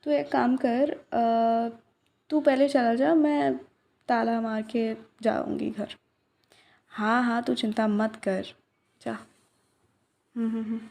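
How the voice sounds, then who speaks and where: Slow gravelly-voiced woman in soft roleplay. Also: on the high side, little pitch movement. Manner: gentle, teasing, soft-spoken with breathy whispered asides; plays a young woman who runs a flower shop. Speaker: voice actress in a listener roleplay. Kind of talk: ASMR roleplay, one side of a conversation.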